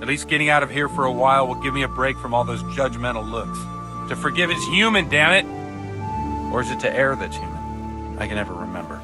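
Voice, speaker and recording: A man's voice: mid-range voice, lively intonation, a little fast, loud music behind the voice, natural voice. A man playing a grudging, touchy village priest in a medieval video game. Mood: grudging, wry. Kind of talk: video game dialogue acting.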